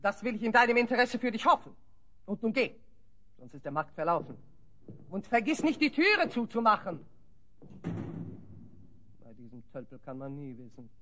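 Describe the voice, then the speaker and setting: An actress playing a haughty, wicked forest sorceress in a German audio drama, imperious and theatrical. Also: female voice, mid-range voice, very animated, moderate pace, pauses often, pushed and bright, a little hiss or hum. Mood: furious, commanding.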